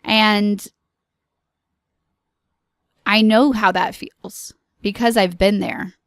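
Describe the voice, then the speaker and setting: Slow, earnest woman podcast host. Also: medium pitch, steady tone, no echo, studio quality. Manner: earnest, encouraging, slow with pauses and filler ums. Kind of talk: solo podcast monologue.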